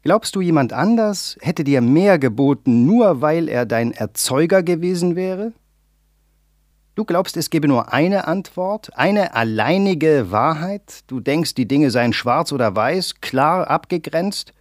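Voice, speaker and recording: Man reading composed mother, raised pitch, firm and instructive, dry booth. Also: animated, a little slow, no echo. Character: calm, proud mother lecturing her grown son.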